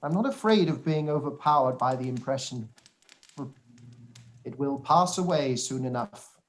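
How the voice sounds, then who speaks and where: A man playing a weary, dryly sardonic older country gentleman and father in a radio play. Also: mid-range voice, animated, close to an everyday voice. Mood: weary, subdued. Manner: dry, wry, deliberate. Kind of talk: radio play live read.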